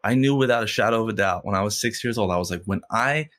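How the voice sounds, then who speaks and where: A podcast guest with a stutter speaks in a lowish voice, repeating sounds and filling pauses with "like" and "you know". He talks reflectively in a relaxed conversation. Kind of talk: casual interview chat.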